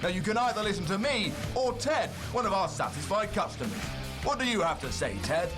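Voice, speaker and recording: A man voicing a loud, pushy announcer in a spoof car-cleaner advert, over jingle music. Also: medium pitch, big pitch swings, brisk, natural voice. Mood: hard sell. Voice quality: loud, salesy.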